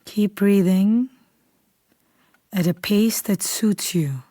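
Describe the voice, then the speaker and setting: A woman leading a guided meditation in a calm, unhurried, soothing way, softly and at times almost whispering. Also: mid-range voice, no room sound, clean studio sound. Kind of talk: guided meditation, slow scripted instruction.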